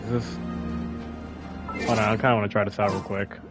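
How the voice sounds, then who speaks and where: Casual male gamer over clear music. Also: on the low side, little pitch movement, brisk. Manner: rambling, thinking aloud. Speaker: gaming video creator. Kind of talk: casual gameplay commentary. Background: game music.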